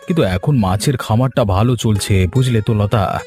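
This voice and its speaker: A man's voice: medium pitch, normal speed, darkish tone, soft background music, close to an everyday voice. A man voicing an earnest, trusting and poor village fish farmer in a cartoon folk tale. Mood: earnest, hopeful. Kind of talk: cartoon fairy-tale dialogue.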